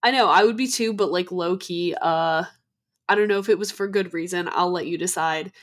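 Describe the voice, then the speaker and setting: A woman's voice: fairly low pitch, fairly quick. Young female co-host, cold-stuffed nasal tone, casual storyteller with lots of fillers. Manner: chatty, many "like" fillers and restarts. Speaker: podcast co-host. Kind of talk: casual chat about ghost stories.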